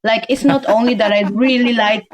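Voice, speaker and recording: A woman's voice: fairly high pitch, steady tone, moderate pace. A woman from Venezuela, a native Spanish speaker with a Venezuelan accent, chatting casually as a podcast guest. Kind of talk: casual chat.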